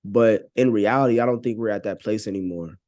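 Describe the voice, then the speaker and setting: A man's voice: lowish voice, brisk, darkish tone. Earnest man talking in casual slang. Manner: slangy, earnest, frequent 'you know what I'm saying'. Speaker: podcast guest. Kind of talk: casual conversational opinion talk.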